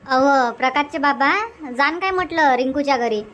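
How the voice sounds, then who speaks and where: A woman's voice: sits high, normal speed, a bit bright, muffled recording. A woman voicing a middle-aged, nagging but caring farm mother in an amateur cartoon comedy, with a rural Vidarbha Marathi accent. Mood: nagging, insistent.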